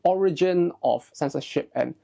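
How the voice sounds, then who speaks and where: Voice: mid-range voice, moderate pace, creaky, dark tone, dull audio. A video essay host narrating in an informal, explanatory way, with conversational asides and hedged remarks.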